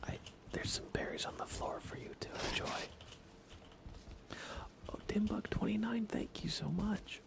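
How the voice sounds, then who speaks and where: A man giving hushed, soft-spoken ASMR gaming commentary as a live streamer, often dropping into a whisper. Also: high-pitched, a little slow, creaky, pauses often. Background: music.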